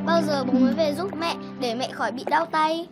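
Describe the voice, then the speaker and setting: A very high, childlike little bird, played by a voice actor, earnest and innocent. It speaks as in an animated film, eager to please, with loud music underneath. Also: brisk, lightly acted. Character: small young male waterbird, eager, innocent, longing for a father. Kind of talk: Vietnamese animated film dialogue.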